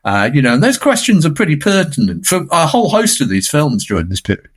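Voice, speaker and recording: A man's voice: on the high side, huge pitch swings, fast, slightly rough. A man giving conversational film analysis as a guest commentator, talking in a discursive, emphatic way.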